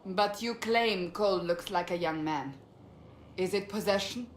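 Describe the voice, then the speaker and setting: A woman's voice: mid-range voice, measured pace, echoey room, slight background noise, close to an everyday voice. A woman playing a direct, probing and serious advisor in a fantasy video game. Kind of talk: dramatic video game acting.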